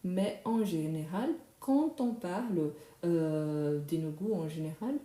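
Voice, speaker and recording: A young woman whose first language is Brazilian Portuguese, teaching a live French lesson slowly and repeating phrases for learners. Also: on the low side, lively intonation, warm tone, roomy sound.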